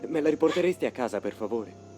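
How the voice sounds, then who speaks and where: A man playing a good-natured, easily flustered teenage boy in an expressive, colloquial anime dub style. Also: mid-range voice, quick pace, over music, natural voice. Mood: tense, uneasy. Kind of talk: dubbed anime motion comic acting.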